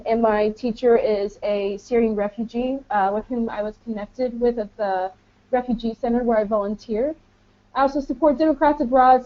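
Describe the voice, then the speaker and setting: An American woman in her twenties speaks informally as a panelist in an online session. Her voice sits a little high, and the sound is dull and lo-fi, like an internet call. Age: 20s. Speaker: English teaching auxiliary speaking as a webinar panelist. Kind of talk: informal self-introduction on a webinar. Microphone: online webinar call.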